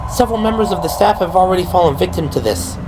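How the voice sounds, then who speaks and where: Man voicing a high-pitched, worried young woman. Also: animated, fast talker, background noise. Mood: worried, intent. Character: worried young woman reading research papers aloud. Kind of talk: audio drama character dialogue.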